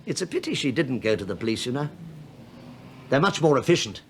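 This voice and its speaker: A man's voice: mid-range voice, very animated, brisk, slight background noise. An actor playing a sly, shabby British investigator in a radio play, sardonic and mock-deferential as he probes. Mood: rueful. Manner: sardonic, mock-deferential, probing, with dry understatement. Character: wry, sly, shabby male investigator posing as police inspector. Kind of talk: radio drama acting.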